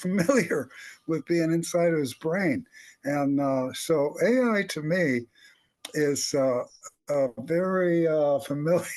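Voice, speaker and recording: Older man telling stories, higher-pitched voice. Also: a little mellow. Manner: rambling, wry, chuckling storyteller. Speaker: comedy writer, panelist. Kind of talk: animated anecdotal panel talk.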